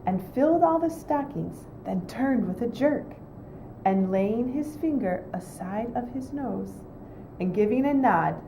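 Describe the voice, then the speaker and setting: A woman reading a holiday poem aloud in a slow, gentle way. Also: fairly high pitch, animated, noisy background.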